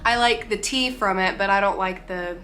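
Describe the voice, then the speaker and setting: A woman's voice: mid-range voice, moderate pace, slight background noise. A young woman with a nasal, congested voice and short of breath, giving casual, opinionated commentary as a vlogger. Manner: chatty, opinionated, animated.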